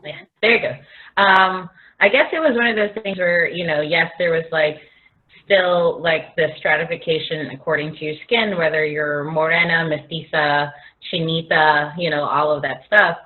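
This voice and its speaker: A woman from the Philippines with a slightly low voice chats as a guest. She speaks casually and reflectively. The sound is thin and phone-like, as heard over a video call. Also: fairly even intonation, normal speed. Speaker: guest, chef.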